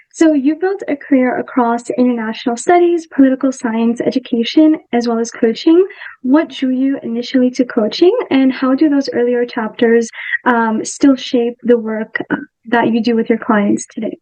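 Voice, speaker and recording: A woman's voice: high voice, lo-fi sound. A young woman hosting a podcast, reading a guest introduction and asking questions in an enthusiastic, friendly way. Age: young adult. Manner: warm, enthusiastic, with um fillers. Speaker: podcast host.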